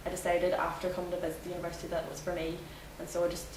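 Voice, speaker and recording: A woman's voice: lowish voice, monotone, rapid-fire, distant mic. A young woman from Northern Ireland with a Northern Irish accent, a student answering interview questions in a chatty way with many fillers. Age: young adult. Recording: echoey room, from afar, noisy.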